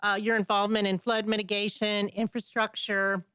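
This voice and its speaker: Bright, crisp-voiced female talk host. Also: medium pitch, flat delivery, moderate pace, no echo. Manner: chatty, friendly asides. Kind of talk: casual interview.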